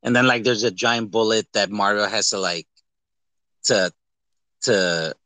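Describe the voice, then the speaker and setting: A man's voice: lowish voice, measured pace, sharp tone, no echo. A man co-hosting a podcast, talking casually about films in a rambling way and acting out quoted lines. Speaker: podcast co-host. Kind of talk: casual movie discussion.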